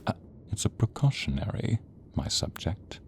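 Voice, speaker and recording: A man voicing a sadistic, theatrical sorcerer villain in an audio roleplay, silky and menacing, drawing out words and slipping into breathy whispers. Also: on the low side, very animated, normal speed, a little mellow, a little hiss or hum. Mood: clinical, controlled. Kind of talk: audio roleplay acting addressed to the listener.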